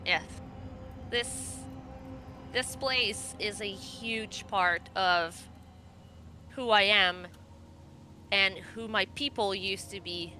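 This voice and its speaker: A woman playing an earnest nature-magic guide devoted to her fey homeland, speaking haltingly and heartfelt in a tabletop roleplay. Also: medium pitch, bright, forceful tone, a little hiss or hum. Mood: awed, earnest.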